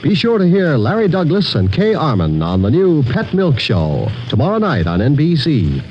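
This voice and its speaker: A man's voice: on the high side, huge pitch swings, moderate pace, faint music behind. An American man reading a network promotion in a mid-century radio announcing style. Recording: muffled, faintly noisy old radio recording.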